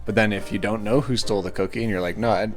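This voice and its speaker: A man's voice: lowish voice, fairly even intonation, rapid-fire, over music. A man hosting a show, reading posts aloud and chatting casually in a jokey, easily amused manner. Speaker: host.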